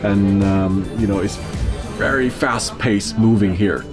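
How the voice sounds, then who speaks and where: Low-voiced enthusiastic man hosting, over music. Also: measured pace. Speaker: video host, aviation enthusiast. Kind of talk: casual on-location chat. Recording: music playing behind the voice.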